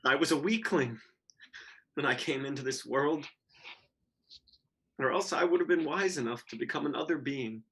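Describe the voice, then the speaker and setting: A man playing a bitter, despairing schoolboy, delivering a brooding, deliberate monologue in a stage-play reading. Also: fairly high pitch, a bit bright, breathy edge. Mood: bitter, resigned.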